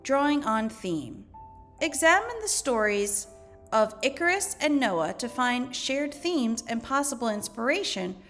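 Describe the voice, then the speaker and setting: A woman with a slightly high-pitched voice reads lesson material aloud as a teacher would. Her delivery is measured and instructional. Music plays behind her narration. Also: big pitch swings, fairly bright tone. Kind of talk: educational read-aloud narration.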